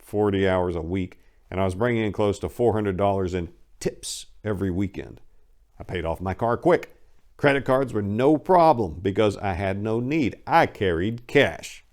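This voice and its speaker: Guy w a fairly low voice doing a dramatic memoir read, blunt street talk, conversational. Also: darkish tone. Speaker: audiobook narrator. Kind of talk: dramatic first-person memoir reading.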